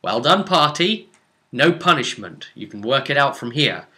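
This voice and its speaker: A man's voice: mid-range voice, big pitch swings, moderate pace, sharp tone. A British man recounting a tabletop role-playing session as its game master, in an informal, dramatic way full of exclamations and asides. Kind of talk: animated informal storytelling to camera.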